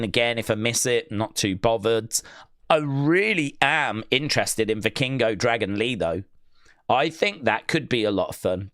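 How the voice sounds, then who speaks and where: A man's voice: mid-range voice, fairly bright tone, dry recording, clean studio sound. A man hosting a live stream, chatting casually and reading out viewer comments, with many fillers and restarts. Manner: rambling, lots of fillers and restarts. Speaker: streamer hosting a wrestling watch-along.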